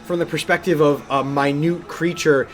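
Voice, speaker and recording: A man's voice: a little high, fairly even intonation, moderate pace, faint music behind. A man co-hosting a gaming podcast, chatting casually and enthusiastically. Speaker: podcast co-host and game streamer.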